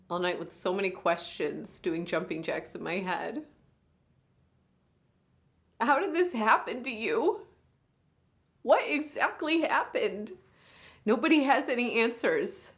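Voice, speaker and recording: A woman performing a monologue as a weary, grieving big sister speaking at her younger sister's bedside, moving between hushed whispers and raised anger. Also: mid-range voice, voice pushed up.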